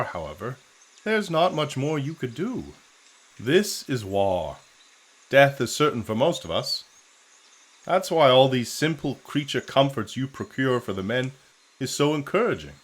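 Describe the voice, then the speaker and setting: An actor playing a genteel, worldly-wise officer and loyal friend in an audio drama, measured and philosophical. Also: male voice, mid-range voice.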